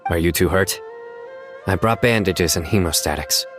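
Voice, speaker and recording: An actor playing a stern male officer who speaks in a measured, commanding way. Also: low-pitched, over loud music, lightly acted. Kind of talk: video game voice acting.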